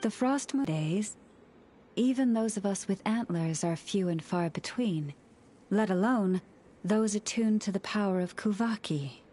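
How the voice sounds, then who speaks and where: An actress voicing a serene, gentle young antlered moon priestess in a video game, speaking in a measured, poetic, formal way. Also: female voice, medium pitch, animated, slight background noise, close to an everyday voice. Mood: solemn, reflective. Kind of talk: scripted video game dialogue.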